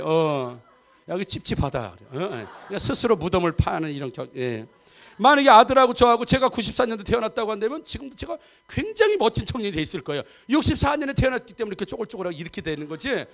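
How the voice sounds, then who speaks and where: A man's voice: high voice, a bit bright. A man in his fifties preaching a Sunday sermon, chatty and joking, often checking for agreement from the congregation. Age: 50s. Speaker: pastor. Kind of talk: sermon with humor and audience asides. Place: church sanctuary.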